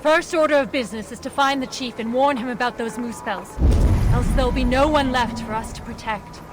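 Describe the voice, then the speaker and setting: A woman's voice: on the high side, fairly quick, noisy background, lightly acted. A woman playing a female dwarf rebel guide in a fantasy game, matter-of-fact with dry wit. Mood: practical, dry.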